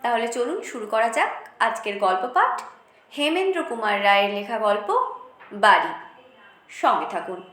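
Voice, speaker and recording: A woman reading a story aloud in a dramatic, expressive first-person style with deliberate phrasing. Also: fairly high pitch, echoey room. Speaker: storyteller, audiobook narrator.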